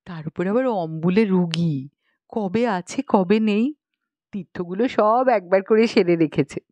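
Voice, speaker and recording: A woman's voice: medium pitch, unhurried, mellow, dry recording, highs cut off, close to an everyday voice. A woman voicing a pious, chatty young village matron in an audiobook, explaining things knowingly in colloquial rural Bengali speech with exclamations. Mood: pious, resigned. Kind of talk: audiobook character dialogue.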